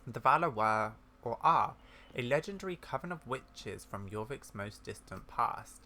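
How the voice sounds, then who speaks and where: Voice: medium pitch, animated, a little slow, sharp tone, slight background noise. A gamer voicing a kindly, scholarly older witch woman from a video game, in a genteel, musing manner. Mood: musing, grave. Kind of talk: video game dialogue read aloud.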